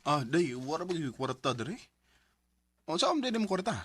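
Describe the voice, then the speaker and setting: A man's voice: on the high side, big pitch swings, moderate pace, a bit bright, breathy edge, no room sound, full character voice. A man with a Filipino Cebuano accent playing a poor young farmer husband, earnest, devoted and anxious, in a Bisaya radio drama. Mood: apologetic.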